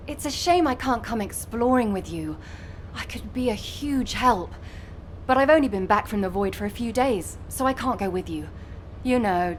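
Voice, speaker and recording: A woman voicing a cheerful, talkative young female soldier in a video game, teasing and expressive. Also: medium pitch, slight background noise, lightly acted. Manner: chatty, playfully exasperated.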